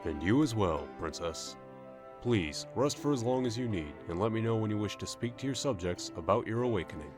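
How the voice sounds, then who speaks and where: A man's voice: lowish voice, expressive, a little fast, music in the background, natural voice. A man playing a loyal royal guard captain in an audio drama, formal, courteous and reassuring. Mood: respectful.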